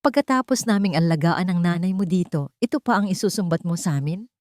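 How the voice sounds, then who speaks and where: A woman's voice: on the low side, expressive, a little fast, a little mellow, dry recording, studio quality, close to an everyday voice. Resentful female in-law, done by a woman narrator: indignant, accusing, brazen, story drama. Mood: outraged.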